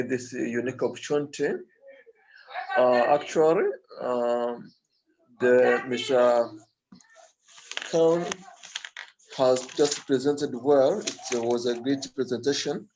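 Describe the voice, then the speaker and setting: Man from Tanzania, very slow, mellow dark voice, polite and halting, dull video call audio. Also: medium pitch. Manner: polite, halting, formal thanks. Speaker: youth organization founder.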